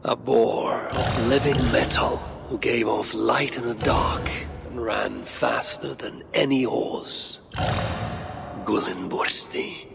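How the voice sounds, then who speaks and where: A slow, gravelly Norse god. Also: male voice, mid-range voice, over music. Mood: solemn storytelling. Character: grave, cunning male Norse god investigating in secret. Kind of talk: video game character acting.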